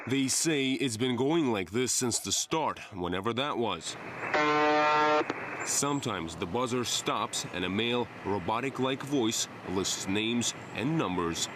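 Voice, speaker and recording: A man's voice: medium pitch, animated, measured pace, very noisy. A man narrating a scripted television news report as the reporter. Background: music bed.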